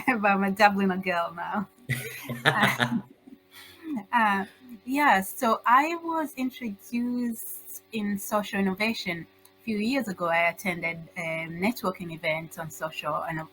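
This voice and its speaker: A middle-aged woman with a Tanzanian accent giving informal, halting answers as an interview guest. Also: medium pitch, fairly bright tone, low-quality recording. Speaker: guest, social entrepreneur.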